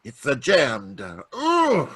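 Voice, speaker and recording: An actor playing a halting, uneasy judge in old-time radio drama. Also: male voice, mid-range voice, wildly swooping pitch, sharp tone, slightly rough, exaggerated voice. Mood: straining. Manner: halting, hesitant. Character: hesitant, uneasy Italian male judge with secrets. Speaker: actor in a radio mystery performance. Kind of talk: 1940s radio drama acting. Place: home, live video call performance.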